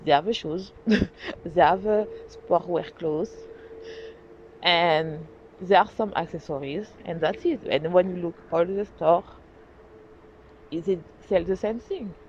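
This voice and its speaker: A woman's voice: fairly low pitch, slow pace, pauses often, darkish tone. A woman with a French accent hosting her own podcast, talking earnestly and animatedly in a casual solo style, often restarting and correcting herself. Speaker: podcast host. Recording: dull lo-fi sound, faint noise.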